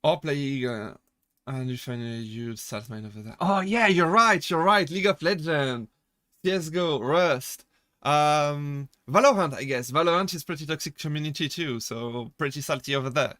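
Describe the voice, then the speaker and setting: A young man from France speaks with a French accent and a bright, slightly high voice. He chats loosely with his live-stream chat, often laughing and stumbling over restarts as he jokes. The sound is dry and close. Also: normal speed, no echo. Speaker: VTuber streamer.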